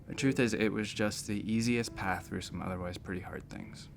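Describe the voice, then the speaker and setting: An actor playing a wry, self-deprecating space station doctor in a sci-fi audio drama, chatty and a little defensive. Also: male voice, fairly low pitch, steady tone, moderate pace, gravelly, slight background noise. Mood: subdued, candid.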